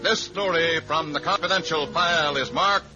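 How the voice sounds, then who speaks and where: A man's voice: on the high side, normal speed. A man announcing a radio drama in a dramatic 1950s style. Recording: muffled 1950s radio recording with music.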